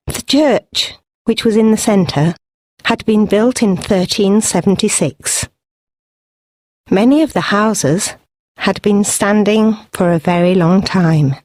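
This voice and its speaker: A woman reading a story for English learners, with careful, deliberate phrasing in a scripted audiobook style. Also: mid-range voice, darkish tone, no room sound, studio quality. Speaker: narrator.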